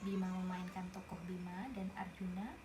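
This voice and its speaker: A woman's voice: lowish voice, little pitch movement, moderate pace. A woman reading a picture book aloud, stopping to explain the story to a young listener in a chatty way. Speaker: storybook reader. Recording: roomy with noticeable background noise.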